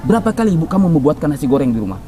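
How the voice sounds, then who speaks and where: Puppet-tale role: male storyteller as scolding noodle vendor, raised pitch, stern and insistent. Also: sing-song, very fast, dark tone. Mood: scolding questioning. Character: stern scolding noodle seller, lecturing a runaway child.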